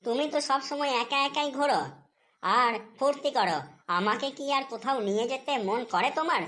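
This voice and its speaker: Nagging wife for cartoon comedy, female performer: high voice, scolding, sarcastic, suspicious, always offended. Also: brisk, fairly bright tone. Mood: bitter, suspicious. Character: nagging, suspicious, quick-to-take-offence Bengali housewife.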